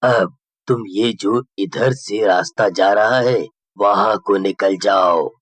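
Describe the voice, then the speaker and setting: A man voicing an eerie, ominous old man with a slow, cryptic way of talking in a horror story. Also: lowish voice, voice pushed down. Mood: ominous, calm. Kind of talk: storybook horror character acting.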